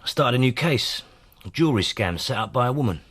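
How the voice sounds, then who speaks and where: An actor playing a weary, defensive police detective and husband with a British accent in a naturalistic radio drama. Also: male voice, medium pitch, animated, fast, fairly bright tone, slightly rough. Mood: weary, confiding. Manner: exasperated, earnest.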